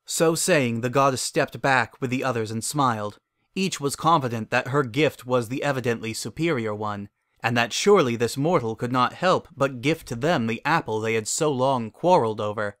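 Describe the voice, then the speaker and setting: A man giving a dramatic reading of a fairy tale as narrator, in a measured, formal storytelling manner. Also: medium pitch, fairly bright tone, no echo, clean studio sound.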